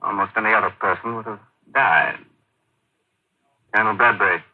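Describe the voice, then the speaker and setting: A commanding surgeon in a 1950s radio play. His low, rough-edged voice gives short, focused orders. The sound is narrow and tinny, like an old broadcast. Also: fairly even intonation, moderate pace. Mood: calm concern. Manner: clipped, authoritative orders. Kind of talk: 1950s radio drama acting.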